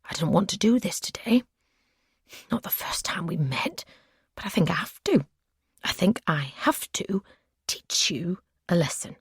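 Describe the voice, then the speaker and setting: A woman voicing an obsessive male captor who speaks in soft, breathy whispers. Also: lowish voice, lively intonation, measured pace, pauses often, no room sound, studio quality, exaggerated voice. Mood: agitated, threatening.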